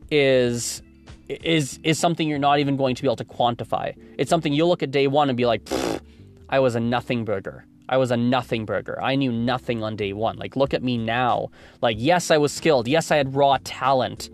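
A man in his twenties from Canada, hosting a solo podcast and thinking aloud, rambling with many restarts. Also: a little high. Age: 20s. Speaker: podcast host.

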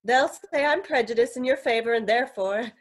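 An actress playing an exasperated, sharp-tongued but loyal fiancée who alarms easily, in the style of a vintage radio crime drama. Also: female voice, a little high, brisk, natural voice. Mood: uneasy, sardonic. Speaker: actress in a live radio theatre retelling.

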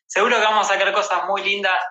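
A man's voice: high-pitched, little pitch movement, quick pace, pushed and bright. An Argentine man hosting a casual livestream interview. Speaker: host interviewing a guest. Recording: echoey room, dull livestream audio.

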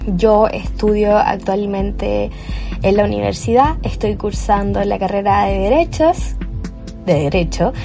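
A woman's voice: mid-range voice, unhurried, vocal fry, mellow, faint music behind. A cheerful young woman with a Chilean accent, talking off the cuff as host of her own podcast on a cheap home microphone, with fillers and restarts. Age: young adult. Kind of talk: improvised solo podcast monologue.

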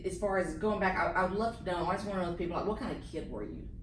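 A woman's voice: fairly low pitch, expressive, rapid-fire, noisy background. Female interviewer, agreeable and affirming; distant, roomy sound. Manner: warm, agreeable, affirming backchannel. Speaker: interview host. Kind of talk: casual interview.

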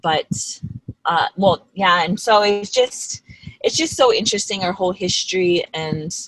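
A woman's voice: on the low side, expressive, measured pace, a bit bright, a little hiss or hum, low-quality recording. A young woman from Hawaii, a podcast guest, talking casually about her upbringing with lots of fillers and restarts. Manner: rambling, many fillers and restarts. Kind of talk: casual interview conversation.

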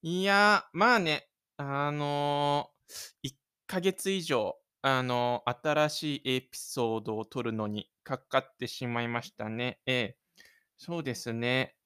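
A man's voice: mid-range voice, slow, bright, forceful tone, smooth. A man presenting his own self-made audio drama, moving between casual radio-show talk and scripted narration.

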